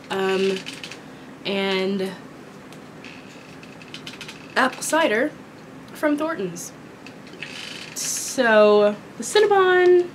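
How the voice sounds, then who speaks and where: A young woman from Kentucky chatting casually while eating, her voice raspy from a sore throat, rambling with many ums. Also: medium pitch, fairly bright tone, a little hiss or hum, low-quality recording. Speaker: vlogger. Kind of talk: casual mukbang chat. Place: small indoor room with dark curtain backdrop.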